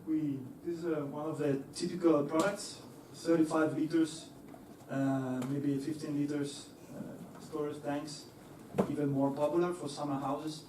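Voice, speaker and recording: A man's voice: a little high, level tone, a little slow, few pauses, noisy background. Man speaking in a roomy hall. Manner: hesitant, many fillers and restarts, laughs easily. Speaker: engineer giving a talk. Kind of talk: presentation to an audience. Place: lecture hall. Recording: echoey hall, voice from far off.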